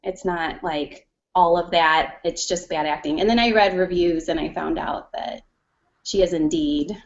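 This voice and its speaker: A woman's voice: on the low side, measured pace. A woman joins a casual fan chat as a guest over a video call, speaking hesitantly and a bit self-consciously. The audio is dull and lo-fi, as web call audio tends to be.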